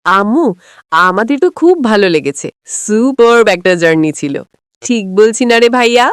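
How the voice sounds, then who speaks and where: Lady doing a sweet eager kid girl for a cartoon, highish n bouncy. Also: expressive, normal speed, clear, resonant tone, no room sound, clean studio sound, close to an everyday voice. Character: eager, affectionate young girl visiting from the city. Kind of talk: cartoon dialogue.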